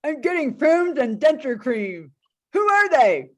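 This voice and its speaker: Woman as high-voiced mocking bulldog henchman. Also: sing-song, measured pace. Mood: alert. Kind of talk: animated film script table read.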